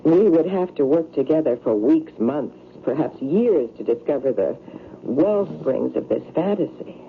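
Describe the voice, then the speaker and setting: An actor playing a grave, cryptic doctor with a formal, portentous manner in an old-time radio drama. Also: male voice, on the high side, expressive, unhurried, vocal fry. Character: grave, cryptic male doctor interpreting a patient's dream. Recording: tinny old radio recording, faint noise.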